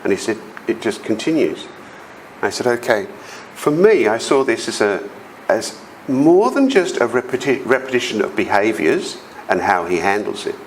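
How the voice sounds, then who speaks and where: A man's voice: fairly low pitch, moderate pace, a little hiss or hum, low-quality recording. A middle-aged man with an Australian accent and a big voice, telling personal stories as a conference speaker and acting out the voices of the people he quotes. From South Australia. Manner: animated storytelling, acts out quoted voices. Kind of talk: storytelling presentation. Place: conference hall.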